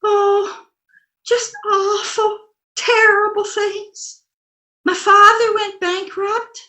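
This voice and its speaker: A dizzy, sweetly illogical wife from a 1940s radio comedy. Her voice is extremely high and bright, and she chatters on innocently and earnestly at an unhurried pace. The sound is roomy and echoey. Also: level tone, exaggerated voice.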